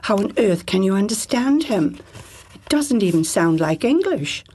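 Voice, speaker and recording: A woman's voice: mid-range voice, big pitch swings, moderate pace, a little mellow, husky. A woman reading aloud, quoting adult passengers on a ship who mock a boy's speech. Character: disapproving adult ship passengers, mixed group.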